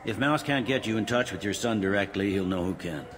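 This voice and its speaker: An actor playing a matter-of-fact, world-weary police officer in a video game, briefing in a dry, informal, clipped way. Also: male voice, fairly low pitch, a little fast, a crowd in the background, natural voice.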